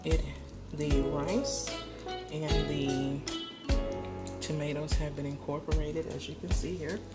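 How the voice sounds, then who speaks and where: Low-voiced woman hosting a home cooking lesson. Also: little pitch movement, takes it slowly. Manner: chatty, instructional, addresses viewers directly. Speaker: home cooking channel host. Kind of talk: step-by-step cooking tutorial. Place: home kitchen at the stove. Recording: loud music under the voice. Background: sizzling food and loud background music.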